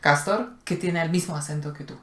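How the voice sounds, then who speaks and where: A woman's voice: low-pitched, brisk, fairly bright tone. A woman speaking, co-hosting a casual conversation; she talks thoughtfully and hesitates often. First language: Slovak. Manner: thoughtful, hesitant, many fillers. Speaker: co-host. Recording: echoey room.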